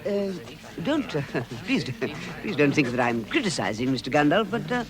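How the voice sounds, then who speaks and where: An actor playing a timid, polite little hobbit in a vintage radio drama, hesitant, stammering and apologetic. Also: male voice, fairly high pitch, big pitch swings, normal speed. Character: timid, polite, flustered small male hobbit.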